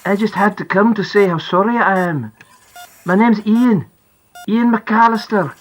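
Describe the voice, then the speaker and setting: A woman's voice: mid-range voice, measured pace, close to an everyday voice, low-quality recording. A woman voicing an upset, apologetic Scottish father in an audiobook, speaking earnestly with a wobbly, pleading delivery. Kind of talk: audiobook character acting.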